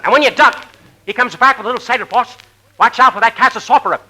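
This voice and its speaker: An actor playing an insistent boxing trainer giving garbled, cautioning advice in 1940s radio comedy. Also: male voice, extremely high pitch, fast talker, sharp tone, exaggerated voice, rough recording. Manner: insistent, cautioning, nonsense double-talk.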